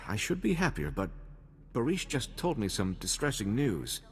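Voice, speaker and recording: An actor playing an earnest, principled male diplomat with a measured, formal air in a video game cutscene. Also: medium pitch, wildly swooping pitch, in a large hall, a little hiss or hum. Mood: troubled, grateful.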